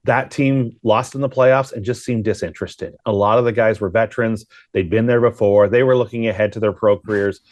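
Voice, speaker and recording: Lowish-voiced man, sports analyst, dry sound. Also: fairly even intonation, fairly quick, hardly any pauses, darkish tone, dry recording. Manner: thinks aloud with rhetorical questions. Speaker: sports broadcaster and guest analyst. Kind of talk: conversational hockey analysis.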